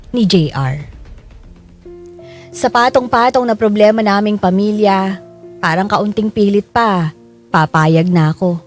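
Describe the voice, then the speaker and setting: First-person radio drama narrator female, mid pitch: burdened parent looking back, confessional and troubled. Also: natural voice. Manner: reflective, confessional.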